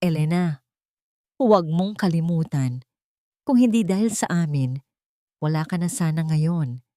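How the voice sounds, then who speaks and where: A woman's voice: low voice, animated, a little slow, dark tone, dry recording, clean studio sound. A woman voicing a haughty, spiteful elderly rich mother-in-law in a scornful, domineering manner for an audio story. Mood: menacing, scornful.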